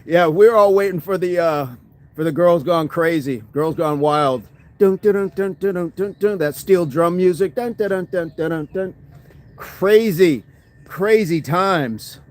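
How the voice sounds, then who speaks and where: A man's voice: high-pitched, unhurried. A man hosting a fitness livestream, casually chatting and reading viewer comments, laughing often and thanking people by name.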